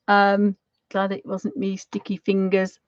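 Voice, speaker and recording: Croaky British woman, chatty craft tutor. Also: mid-range voice, monotone, measured pace, no echo, dull audio. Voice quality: slightly croaky. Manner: rambling, homely, thinking aloud. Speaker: watercolour craft tutor hosting a live session.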